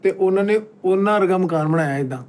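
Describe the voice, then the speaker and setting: A man's voice: high-pitched, fast, a little mellow. A man with a Pakistani Punjabi accent chatting casually and deferentially, in short simple phrases. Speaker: comedy panel member. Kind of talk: casual chat.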